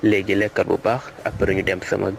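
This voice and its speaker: A man with a Senegalese accent acting a smooth-talking young suitor in a comic cartoon, switching between French and Wolof. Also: low-pitched, level tone, quick pace, a little hiss or hum, rough recording. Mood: assertive. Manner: mixes French phrases into Wolof. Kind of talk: comic animated cartoon dialogue.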